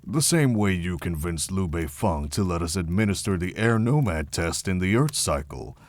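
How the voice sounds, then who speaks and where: Gentle, low-voiced monk played by a man, very expressive. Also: normal speed. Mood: wry, teasing. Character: gentle, wry middle-aged monk, kindly with children. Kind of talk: animated fantasy visual novel voice acting.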